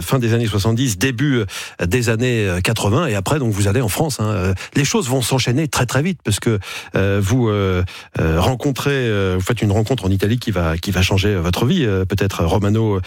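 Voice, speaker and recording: Male radio host, low voice, unhurried chatty delivery, dry close studio mic. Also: dry recording. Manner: conversational, unhurried, many hesitations. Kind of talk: casual radio interview. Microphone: studio microphone.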